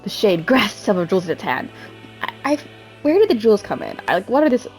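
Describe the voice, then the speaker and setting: A woman's voice: medium pitch, sing-song, quick pace, soft background music. A woman giving a mock-dramatic amateur reading, theatrical and self-mocking, often breaking off into casual asides. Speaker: amateur reader.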